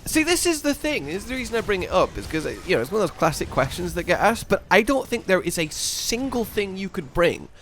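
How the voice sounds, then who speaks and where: A man in casual, playful gameplay banter as a co-host, excitable and given to swearing. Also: high-pitched, quick pace, hardly any pauses, slight background noise. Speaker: co-host of a gaming video.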